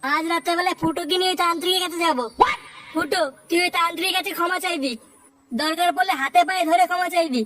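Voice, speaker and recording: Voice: very high voice, quick pace, pushed and bright, slight background noise. A performer voicing a bossy, hot-headed cartoon club chief who scolds in a comedy style.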